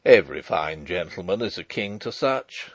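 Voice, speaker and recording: Old colonel, acted by a male narrator: low, hoarse, terse, wary, loyal, close dry storybook sound. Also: animated, moderate pace, no room sound, heavily acted. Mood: dry, dismissive. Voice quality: hushed, hoarse. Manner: terse, commanding. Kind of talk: audiobook character voice.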